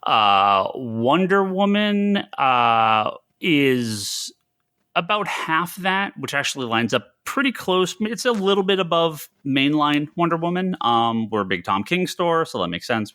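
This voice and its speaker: A man's voice: medium pitch, big pitch swings, moderate pace, sharp tone. A man chatting casually as a podcast co-host. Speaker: podcast co-host, comic shop retailer. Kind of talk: casual panel chat.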